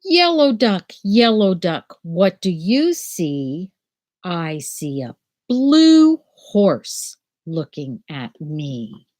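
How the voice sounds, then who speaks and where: An older woman teaching children from home over a webcam, explaining a picture book and then reading it aloud in a gentle sing-song. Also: lowish voice, big pitch swings, very slow. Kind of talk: children's read-aloud lesson. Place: small room at home. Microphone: laptop webcam video call.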